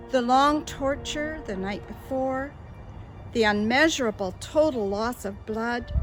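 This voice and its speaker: A woman reading scripted dramatic narration in a solemn, deliberate manner. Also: sits high, low-quality recording. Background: loud music.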